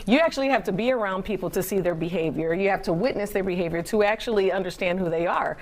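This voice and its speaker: A woman's voice: mid-range voice, quick pace. A woman on a debate panel, explaining her view firmly.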